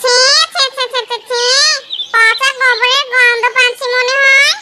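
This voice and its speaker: Shrill, scornful cartoon animal villagers. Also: flat delivery, a little slow. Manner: exclaiming, repetitive scolding. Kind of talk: children's cartoon voice acting. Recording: loud music under the voice. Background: loud children's music.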